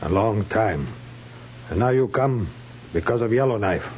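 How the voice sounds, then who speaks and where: Deep-voiced, solemn old chief. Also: male voice, expressive, a little mellow. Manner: measured, solemn, terse phrasing. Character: old Sioux chief, grave, weary and wise. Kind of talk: 1950s radio drama acting. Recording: muffled, hissy 1950s radio recording.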